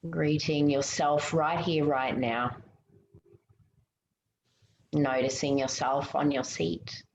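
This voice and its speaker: A low-pitched woman with a creaky edge guides a meditation very slowly. Her delivery is soothing and gentle, with pauses between instructions. The recording sounds roomy. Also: level tone. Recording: muffled, roomy, noticeable background hiss.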